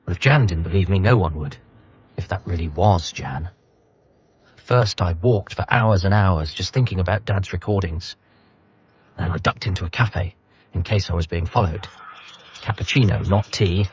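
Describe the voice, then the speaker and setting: An actor playing an anxious, grieving adult son in a radio comedy drama, with a wry, hesitant and apologetic manner. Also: male voice, low voice, muffled recording, slight background noise, close to an everyday voice.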